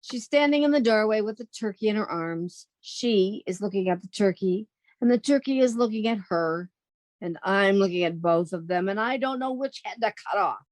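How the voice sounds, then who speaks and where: A woman's voice: mid-range voice, lively intonation, normal speed, darkish tone, no echo, close to an everyday voice. A woman acting in an old-time radio comedy as a sensible, theatrically exasperated young working woman with a wry manner.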